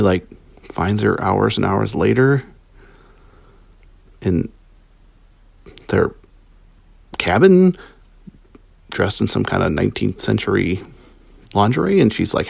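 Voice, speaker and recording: A man's voice: on the low side, gravelly, a little mellow, dry recording. A man giving a casual spoken review as listener feedback, rambling and hesitant as he thinks aloud.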